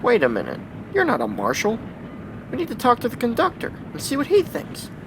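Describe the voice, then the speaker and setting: A man playing a nervous, deferential, excitable train porter in a period radio drama. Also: brisk, vocal fry, full character voice. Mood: nervous, incredulous. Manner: excitable, stammering, incredulous. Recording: train rumble behind the voice.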